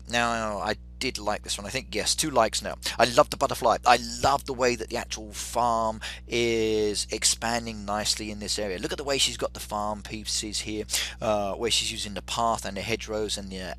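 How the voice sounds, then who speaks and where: A man's voice: fairly low pitch, bright, forceful tone, a little hiss or hum. A middle-aged man with an English accent chatting through a live game stream and reading out viewer comments. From England.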